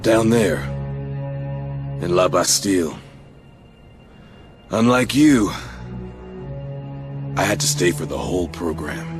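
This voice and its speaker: An actor playing a weary, damaged former prisoner and comrade in a video game cutscene. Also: male voice, low voice, animated, takes it slowly, long pauses, music in the background. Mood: weary, somber.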